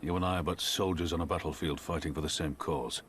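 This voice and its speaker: An actor playing a grave, resolute general in a video game, speaking in a stern, measured, dignified way. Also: male voice, extremely low pitch, fairly even intonation, a bit bright, a little hiss or hum. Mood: solemn resolve. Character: grave, resolute male general and resistance leader. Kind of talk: video game drama acting.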